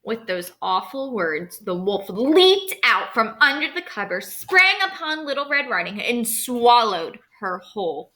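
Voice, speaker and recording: A woman narrating a children's storybook aloud as a storyteller, expressive and dramatic, stressing key words. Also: a little high, measured pace, hardly any pauses, low-quality recording.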